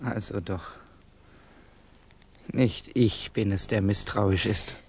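A man's voice: lowish voice, steady tone, slow, husky, exaggerated voice. An actor in a German radio drama playing a bitter, distrustful young Russian revolutionary man, touchy and brooding. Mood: suspicious.

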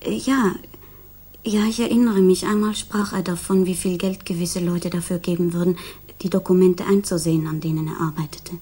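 An actress playing a grief-stricken, loyal young fiancée, soft, breathy and subdued, in a 1960s German radio crime drama. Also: female voice, on the low side, fairly even intonation, normal speed. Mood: troubled, subdued. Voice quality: soft, breathy, often near whisper. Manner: hesitant, subdued.

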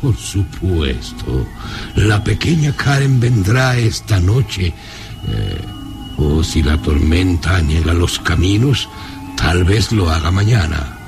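A very low-voiced commanding baron in a radio drama. He speaks slowly and deliberately, with aristocratic menace, over loud music. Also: big pitch swings, creaky, exaggerated voice. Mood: calm menace. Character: domineering, menacing aristocratic baron, father of a daughter.

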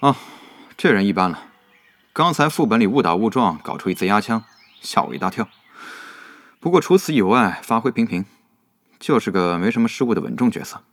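A man playing a seasoned, analytical gaming guild leader in a Chinese audio drama, speaking in a measured, composed way. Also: lowish voice, sing-song, no room sound. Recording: music bed under the voice.

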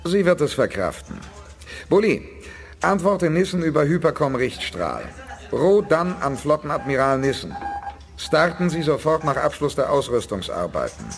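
An actor with diction playing a confident, wry space commander in a radio drama, crisp and authoritative. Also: male voice, a little high, sing-song, normal speed, faint music behind. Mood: commanding. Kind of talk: 1980s German radio drama acting.

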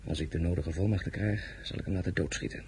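A blunt french general in a radio play, talks fast, clipped and commanding, bit of noise. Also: male voice, low voice, darkish tone. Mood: calm, grim. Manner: curt, authoritative, formal. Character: stern, blunt French army general and war minister.

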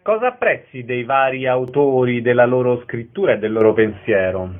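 A tinny, narrow-band recording carries a man with a medium-pitched voice. He is a radio interviewer, putting questions to a guest. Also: normal speed. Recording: tinny, narrow phone-line sound.

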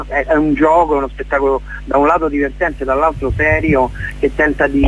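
A man being interviewed casually as a theatre guest, searching for his words as he explains things. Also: medium pitch. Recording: dull lo-fi radio sound.

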